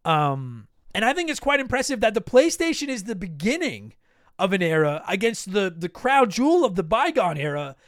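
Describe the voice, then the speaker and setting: A man with a high-set voice talks casually as a podcast host. The sound is clean and smooth up close and very dry, though he is a touch hoarse from talking. He jokes at his own expense and speaks bluntly. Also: big pitch swings, moderate pace, dry recording. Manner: self-deprecating, joking, blunt. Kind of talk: casual solo podcast talk with promotional plugs.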